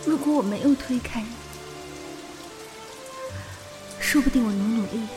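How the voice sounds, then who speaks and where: A woman's voice: fairly high pitch, quick pace, natural voice. Wistful, guarded schoolgirl in reverberant audio drama, played by a woman. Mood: regretful, sad. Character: wistful, guarded teenage schoolgirl, quietly heartbroken. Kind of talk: modern Chinese audio drama acting with inner monologue. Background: music.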